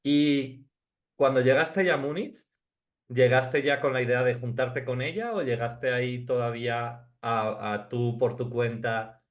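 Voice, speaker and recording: A man's voice: mid-range voice, measured pace. A man with a Castilian Spanish accent hosting a casual interview, conversational and reacting to his guest. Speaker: interviewer, host.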